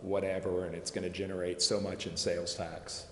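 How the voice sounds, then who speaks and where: A man explaining finance details at a city committee meeting, measured and full of fillers and restarts. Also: low voice, flat delivery, fairly bright tone. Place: council chambers. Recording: echoey chamber with long reverb, faint noise.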